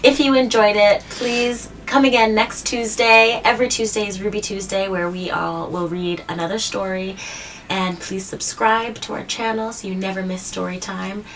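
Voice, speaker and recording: A woman hosting a children's read-aloud, explaining things simply and gently to a child and often checking in with questions. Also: mid-range voice, fairly even intonation, moderate pace. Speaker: host of a children's story read-aloud show. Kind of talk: picture-book reading and simple explanations to a child. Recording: distant mic, faint background noise.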